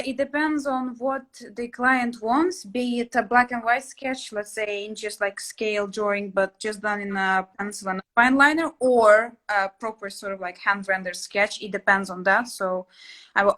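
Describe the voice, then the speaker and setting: A woman's voice: medium pitch, fairly bright tone. A young woman from Latvia whose first language is Russian, chatting casually as host with many fillers and restarts. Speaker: channel host, interior sketch artist. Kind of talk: casual conversation.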